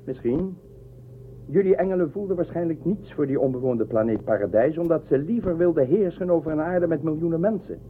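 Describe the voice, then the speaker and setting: An actor playing a sly, imperious emperor in a science-fiction audio drama, speaking in a measured, commanding way. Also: male voice, fairly high pitch, close to an everyday voice. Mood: sly, assured. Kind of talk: science-fiction radio play acting. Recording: narrow phone-like band, faint noise.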